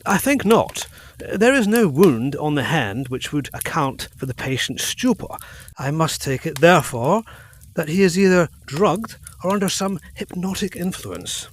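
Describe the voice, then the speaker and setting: A man's voice: on the high side, big pitch swings, measured pace, slight background noise. A man playing an earnest, authoritative Edwardian physician in a gothic audio drama, with formal, emphatic diction. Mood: grave, assured.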